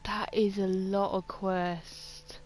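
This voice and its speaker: A woman's voice: mid-range voice, level tone, takes it slowly, a little mellow. A woman giving casual let's-play commentary, thinking aloud in a hesitant way. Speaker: gaming video creator. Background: game music.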